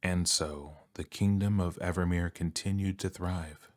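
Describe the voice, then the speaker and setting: Male ASMR bedtime narrator: very low, soft-spoken, calm and measured, with a flat, even delivery. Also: no echo, studio quality.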